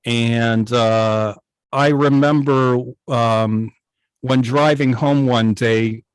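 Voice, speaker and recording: Middle-aged male hobbyist guest, mid-pitched, thoughtful and halting, casual storytelling, recorded dry on a video call. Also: fairly even intonation, clean tone, no room sound, low-quality recording. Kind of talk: casual reminiscing in an online club interview.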